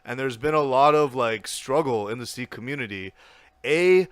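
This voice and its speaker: A young man talking as a podcast guest, animated and blunt, with lots of restarts and casual swearing. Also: mid-range voice, moderate pace, clear, resonant tone, no echo.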